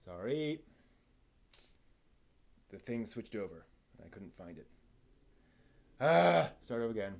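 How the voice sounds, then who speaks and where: A man's voice: mid-range voice, very animated, takes it slowly, vocal fry, long pauses. A man hosting an informal live stream, talking in a casual, rambling way and at times reading aloud. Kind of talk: casual live stream talk about anime with theatrical read-aloud segments.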